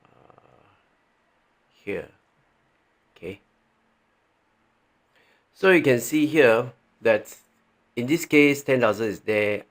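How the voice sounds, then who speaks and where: A man with a Malaysian accent presents an informal, step-by-step tutorial. His voice sits fairly low and has a pronounced creak. He thinks aloud in a halting way and keeps seeking confirmation as he explains. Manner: halting, thinking aloud, frequently checking with "right". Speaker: tax training presenter. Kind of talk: informal tutorial walking through on-screen examples.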